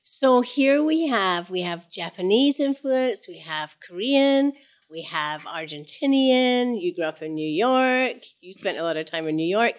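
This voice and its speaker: A woman's voice: medium pitch, animated, unhurried. Lady host interviewing chefs, encouraging, keeps it moving. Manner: engaged, encouraging questions.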